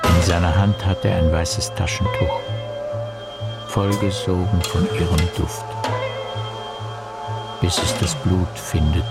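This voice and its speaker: A man delivering poetic scripted narration for a radio play as a deliberate recitation. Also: low-pitched, steady tone. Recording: loud music behind the voice. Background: plucked guitar music.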